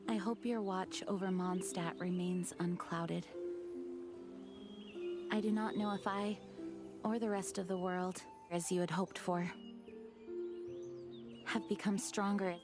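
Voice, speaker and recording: A woman's voice: fairly low pitch, steady tone, slow, long pauses, music in the background. A woman playing a noble, dutiful knight leader, speaking formally and solemnly in an anime video game.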